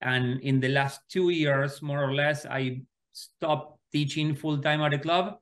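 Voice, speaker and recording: A man from Argentina in his forties, answering interview questions in a relaxed, unhurried way and repeating words as he thinks. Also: fairly high pitch. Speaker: guest, sports club co-founder.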